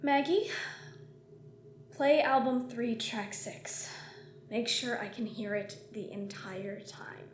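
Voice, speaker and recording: A woman's voice: on the high side, takes it slowly, long pauses. A woman playing a small, exasperated, reluctant young spaceship captain in a sci-fi audio drama, indignant and protesting. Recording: echoey room with faint noise.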